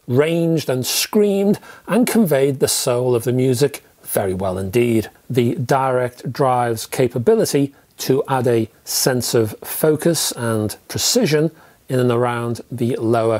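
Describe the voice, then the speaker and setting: A middle-aged British man presents a review to camera. His voice sits at an average male pitch, and he speaks in measured, descriptive sentences. Key phrases get deliberate emphasis. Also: darkish tone. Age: 50s. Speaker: product reviewer and host. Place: indoor room with record shelves.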